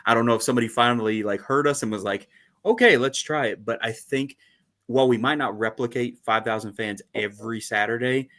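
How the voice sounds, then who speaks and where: A man co-hosting a fan podcast in a casual, animated chat, laughing often and using lots of fillers. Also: medium pitch, fairly quick. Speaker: podcast co-host.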